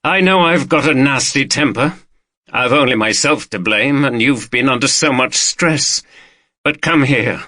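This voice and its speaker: A man playing a weary partner who speaks calmly and reassuringly, with a firm edge. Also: mid-range voice, measured pace, no room sound, clean studio sound, voice pushed up. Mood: soothing. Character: weary, soothing man with a past temper.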